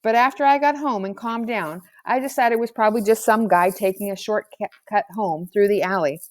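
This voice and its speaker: A woman's voice: medium pitch, brisk, dry recording, lightly acted. A woman voicing a cocky, slangy schoolboy with a brash, self-justifying manner in a storybook reading. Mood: indignant, self-justifying. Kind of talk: storybook character voice.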